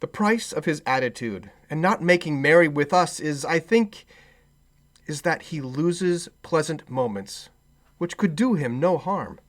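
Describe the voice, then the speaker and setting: A man plays a good-humored, forgiving nephew in a radio play. His voice sits fairly high and swings freely in pitch, hearty and earnest throughout. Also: animated, unhurried, a bit bright. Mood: earnest, pitying.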